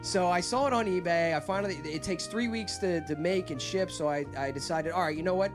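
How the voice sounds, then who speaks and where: A man with a high voice hosts a casual podcast and rambles through a story with lots of restarts. Faint music plays underneath. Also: a little fast. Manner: rambling, animated storyteller who breaks into a squeaky put-on voice. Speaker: podcast host.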